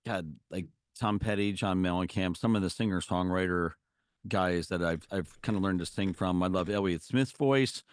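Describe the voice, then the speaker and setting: A man's voice: clean tone, dry recording, studio quality. A middle-aged man, a musician guest, chatting casually with lots of fillers and restarts. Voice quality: low singing voice. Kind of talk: casual interview chat.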